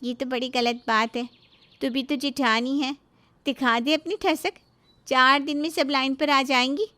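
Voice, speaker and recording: A woman performs a gossipy female friend from a family tale. She sounds high and breezy. She teases and goads as she chats. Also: fairly even intonation, measured pace, pushed and bright. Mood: breezy, goading. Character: chatty, teasing female friend on the phone, egging on. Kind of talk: moral story dialogue.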